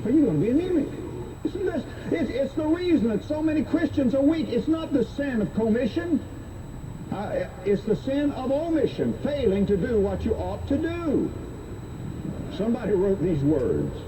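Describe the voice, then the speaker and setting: A man's voice: very animated, moderate pace. Fervent male preacher on noisy radio. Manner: exhorting, emphatic, repeats phrases. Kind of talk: fervent sermon. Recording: noisy shortwave radio played through boombox.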